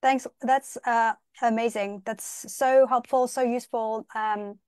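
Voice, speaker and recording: A woman's voice: fairly high pitch, fairly even intonation, measured pace, darkish tone, clear, resonant tone, no room sound, clean studio sound. A woman moderating a casual webinar, upbeat and enthusiastic as she relays questions. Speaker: host, moderator.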